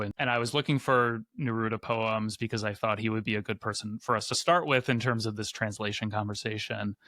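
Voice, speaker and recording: A man co-hosting a conversation about poetry, speaking in a halting, hedging way with many restarts and fillers. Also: medium pitch, fairly bright tone, smooth, dry recording. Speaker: podcast co-host. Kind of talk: conversational chat and poetry reading.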